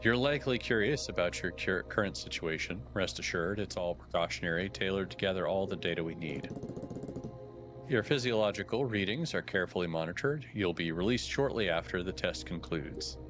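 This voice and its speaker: A man reading a calm authoritative examiner. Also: low voice, a little fast. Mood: calm, measured. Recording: background music under voice.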